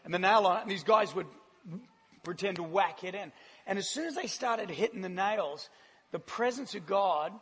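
Croaky-voiced man preaching. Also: high-pitched, normal speed, a bit bright. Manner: chatty, self-deprecating, laughs often. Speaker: guest speaker. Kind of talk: casual, joking church talk. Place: large church hall. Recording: live church hall with long reverb.

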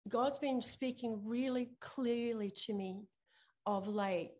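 A woman preaches as a pastor to her church in a fairly high-pitched voice. She is earnest and emphatic, circling back to key phrases and restating them for weight as she moves between prayer and sermon. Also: slow, a little mellow.

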